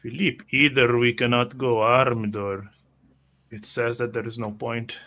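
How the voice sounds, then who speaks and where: A man improvising first-person roleplay as a tabletop game player, halting and repeating words as he goes. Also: mid-range voice, little pitch movement, sharp tone, lo-fi sound.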